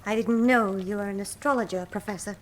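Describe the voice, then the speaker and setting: Woman playing a defiant captive lady, mid-pitched. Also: fairly quick, natural voice, rough recording. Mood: dryly sarcastic. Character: composed, defiant young lady captive, cuttingly scornful. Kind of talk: radio drama acting.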